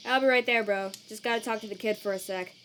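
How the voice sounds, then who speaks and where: A woman voicing a weary, laid-back, protective male skeleton in a comic dub, casual and slangy, halting when troubled. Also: on the high side, fairly even intonation, a bit bright, a little hiss or hum, natural voice. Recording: cricket night ambience behind.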